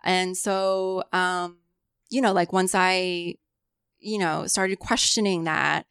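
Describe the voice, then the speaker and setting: A woman's voice: lowish voice, fairly even intonation, slow pace, fairly bright tone, clear, resonant tone, no room sound, clean studio sound. A woman whose first language was Cantonese, talking reflectively in a casual podcast chat, full of "like" and "you know".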